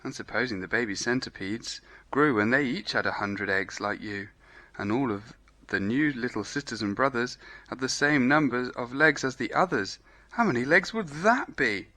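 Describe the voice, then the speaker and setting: A man voicing an earnest, dreamy storybook rabbit who recites rhyming verse in a measured lilt. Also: mid-range voice, animated, bright, forceful tone. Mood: earnest, curious.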